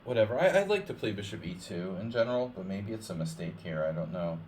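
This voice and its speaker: A man's voice: low-pitched, sing-song, fast, darkish tone, slight background noise. A man streaming chess against viewers, chatting casually and rambling, with lots of "like" and restarts between joking asides. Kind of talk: casual live-stream chat over games.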